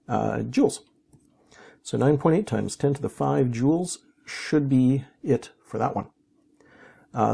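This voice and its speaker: A man with a gravelly, husky voice talks through physics problems without a script. His tone is dark and mellow, slightly hoarse, and he thinks aloud with fillers and restarts as he goes. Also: medium pitch. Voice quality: raspy. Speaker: physics teacher. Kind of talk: unscripted worked-problem walkthrough.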